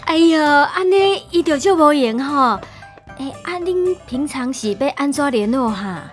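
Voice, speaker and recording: Casting: excitable, prying Taiwanese mom, middle-aged, high-pitched, slips into Hokkien, comedy audio play. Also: female voice, faint music behind. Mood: eager, curious. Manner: mixes Mandarin with Taiwanese Hokkien, rapid questioning. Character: nosy, excitable middle-aged mother of two. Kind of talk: comedic audio drama acting.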